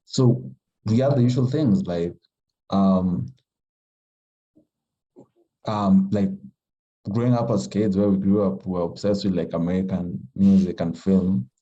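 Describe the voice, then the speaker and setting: Soft-spoken man, dark mellow voice. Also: low-pitched, fairly even intonation, muffled recording. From Kenya. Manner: halting, thoughtful, heavy with fillers. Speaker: writer, podcast guest. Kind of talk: casual conversation.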